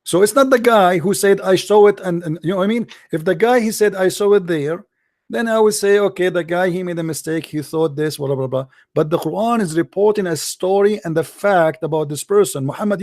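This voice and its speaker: A man whose first language is Arabic presses his point hard in a heated debate. He talks over his caller and won't let go. His voice sits a little high and sounds dry and close, with no room echo. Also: a little mellow. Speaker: host.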